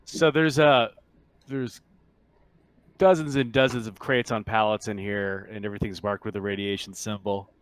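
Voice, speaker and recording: A man's voice: fairly low pitch, fairly even intonation, moderate pace, clean tone. A man acting as game master, narrating scenes of a tabletop roleplaying game in a casual, conversational way.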